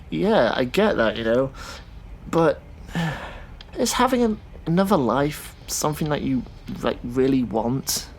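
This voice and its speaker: A man playing a thoughtful, sceptical taxi driver in a naturalistic audio drama, talking in a casual, halting way. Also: mid-range voice, very animated, a little hiss or hum. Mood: doubtful.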